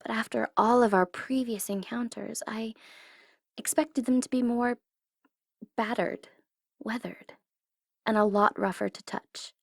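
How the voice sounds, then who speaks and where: A woman's voice: fairly high pitch, fairly even intonation, measured pace, vocal fry, pauses often, no room sound, studio quality. A woman playing a smug, secretly tender female supervillain mastermind in an intimate, theatrical ASMR roleplay, teasing and easily flustered. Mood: musing, fond.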